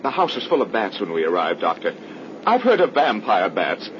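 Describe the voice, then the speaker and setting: An earnest, troubled young man in a 1930s radio melodrama. His middle-pitched voice moves with great expression, and the recording is dull and full of old hiss. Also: wildly swooping pitch, normal speed, fairly bright tone, exaggerated voice. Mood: anxious. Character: earnest, anguished young man, a devoted brother. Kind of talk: 1930s radio horror acting.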